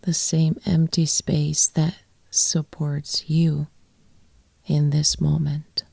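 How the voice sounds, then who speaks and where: A woman guiding a yoga nidra meditation in a soft, breathy, often near-whispered voice, with gentle phrases and long pauses. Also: sits low, little pitch movement, no echo. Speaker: yoga nidra teacher and guide. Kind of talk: guided meditation, soft and soothing.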